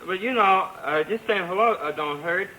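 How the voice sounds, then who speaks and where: Meek carnival fellow, high bright voice. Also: male voice, normal speed, rough recording. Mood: meek, friendly. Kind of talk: 1950s radio drama acting. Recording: 1950s radio recording with faint noise.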